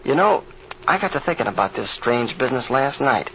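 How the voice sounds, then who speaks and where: A man's voice: medium pitch, sing-song, fairly quick, fairly bright tone. A man playing an earnest, eager young pilot in an old radio drama, on a muffled, hissy recording. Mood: earnest concern.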